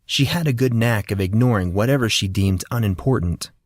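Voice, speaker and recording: A man's voice: fairly low pitch, fairly quick, dry recording, clean studio sound. A man reading scripted fiction as an audiobook narrator.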